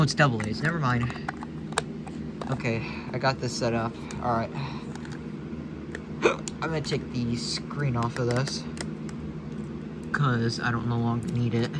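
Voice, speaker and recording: A man thinking aloud as he unboxes and sets up a radio at home, hesitant and full of fillers. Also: mid-range voice. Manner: hesitant, mutters to himself with many fillers. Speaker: vlogger unboxing a weather radio. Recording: loud background noise.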